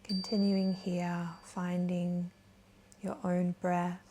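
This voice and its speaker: Very slow, soothing woman guiding meditation. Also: on the low side, completely flat delivery, slight background noise. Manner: gentle, soothing, unhurried with long pauses. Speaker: meditation guide. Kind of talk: guided meditation. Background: soft chimes.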